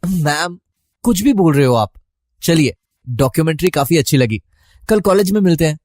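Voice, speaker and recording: Casting: man voicing timid, dutiful young male student for story dialogue, higher voice, mellow, dry close recording. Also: animated, normal speed, no room sound, natural voice. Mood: shy, flustered.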